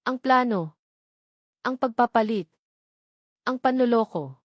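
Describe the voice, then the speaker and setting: A woman's voice: on the high side, animated, slow, no echo. Woman narrating drama, dry close sound. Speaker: story narrator.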